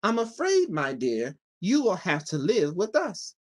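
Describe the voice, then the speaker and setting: A man's voice: high-pitched, wildly swooping pitch, a little slow, exaggerated voice. Man voicing a kindly, lilting little old witch. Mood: regretful.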